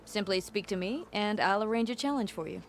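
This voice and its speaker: A woman's voice: mid-range voice, animated, a little fast, a little hiss or hum, natural voice. An actress playing a no-nonsense female arena keeper and trainer in a video game, businesslike and plain. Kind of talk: video game character acting.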